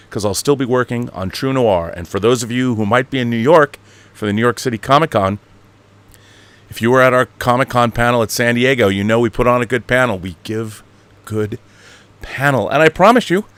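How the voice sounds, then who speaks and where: A man's voice: mid-range voice, a bit bright. An American man hosting an online show in a casual, rambling monologue to his viewers, with a grandiose, playful showman's manner.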